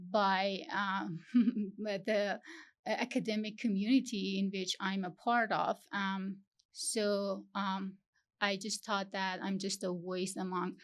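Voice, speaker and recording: Young iranian-born woman, slow careful talk full of ums, interview guest. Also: mid-range voice. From Iran. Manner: halting, searching for words, frequent fillers. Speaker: guest, PhD student. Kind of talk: interview conversation.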